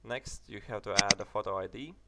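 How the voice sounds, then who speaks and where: A young British man narrating a website walkthrough, halting with many fillers and restarts. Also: lowish voice, heavy background noise. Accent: British English. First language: English. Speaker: tutorial narrator. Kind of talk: screen-recorded tutorial walkthrough.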